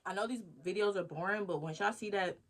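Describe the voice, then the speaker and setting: A woman's voice: mid-range voice, fast talker. A woman in her twenties talking through her plans in a casual, rambling diary vlog, thinking aloud and cheering herself on. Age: 20s. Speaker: vlogger.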